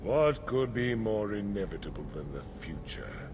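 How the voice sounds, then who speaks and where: A villainous high priest for a fantasy game. He is pompous and menacing, drawing out his taunts at a slow, deliberate pace over a clearly audible rumble of background noise. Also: sing-song. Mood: gloating menace.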